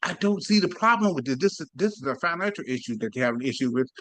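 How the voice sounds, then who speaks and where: A man's voice: on the high side, expressive, quick pace, a bit bright, no echo. Laid-back rambling man with a dry sound. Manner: rambling, laughs often, slangy. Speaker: commentary channel host. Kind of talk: casual commentary.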